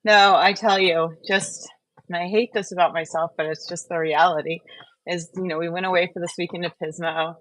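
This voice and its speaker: A woman's voice: on the low side, fairly even intonation, a little fast, a bit bright, no echo, rough recording. A woman hosting a casual, conversational chat, asking questions and adding her own thoughts. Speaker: host.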